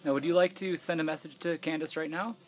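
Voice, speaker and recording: A man's voice: a little high, steady tone, fast, a little hiss or hum. A man interviewing, asking brief and direct questions.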